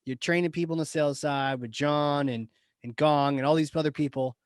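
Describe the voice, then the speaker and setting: A man hosting a podcast interview, asking questions with enthusiastic, quick reactions. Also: a little high, fairly even intonation, no room sound, clean studio sound. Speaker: podcast host. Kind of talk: casual interview chat.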